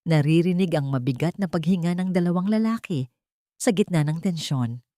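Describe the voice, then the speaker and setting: A woman's voice: on the low side, moderate pace, dark tone, no echo, clean studio sound. A woman narrating a scripted story in a dramatic style.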